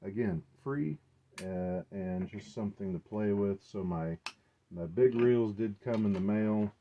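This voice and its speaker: A man giving a casual, unscripted show-and-tell, speaking haltingly as he thinks aloud. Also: fairly low pitch, mellow, slight background noise. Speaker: collector presenting his gear. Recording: dull, muffled lo-fi sound.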